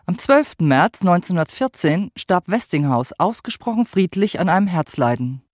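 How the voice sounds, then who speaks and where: A man's voice: high voice, very animated, normal speed, dry recording, studio quality. A man reading scripted narration as one of the narrators of an audio drama. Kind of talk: scripted narration over music. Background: music.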